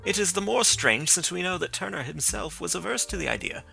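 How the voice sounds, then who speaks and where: A man reading the part of a sharp, calm male detective who reasons things out in a measured, precise way. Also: sits high, gravelly, soft background music, pitched above the performer's own voice, exaggerated voice. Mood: reasoning.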